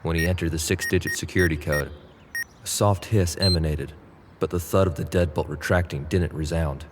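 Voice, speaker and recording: A man narrating an audio drama in a low, steady voice. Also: brisk, background noise. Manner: low steady narration, pushier and louder in Nate's lines. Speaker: narrator and actor playing Nate.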